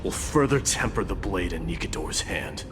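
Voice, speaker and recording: An actor playing a proud, gruff warrior prince in a video game, speaking in a solemn, haughty, terse way. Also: male voice, deep voice, sing-song, moderate pace, over music. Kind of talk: scripted video game voice acting.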